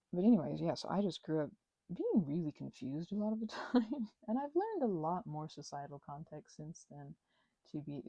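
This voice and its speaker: A woman with a mellow, breathy voice and plenty of creak chats casually in an ASMR craft ramble. Her pitch wanders freely as she drifts between tangents, poking fun at herself and muttering to whatever she is handling. She often sinks toward a near whisper. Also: no room sound, highs cut off. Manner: self-deprecating, playfully sarcastic, tangent-prone, talks to herself and objects. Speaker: channel host.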